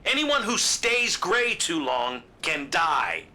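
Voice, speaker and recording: An actor playing a brash, cocky space-cop superhero in a video game, blunt and swaggering. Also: male voice, fairly high pitch, sing-song, slow pace, bright, forceful tone, exaggerated voice. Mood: cocky, urgent.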